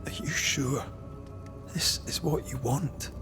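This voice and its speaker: An actor playing a tormented, war-haunted man devoted to his lover, speaking gravely and haltingly, often dropping to a murmur, in video game dialogue. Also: medium pitch, close to an everyday voice. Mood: doubtful. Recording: music under the voice.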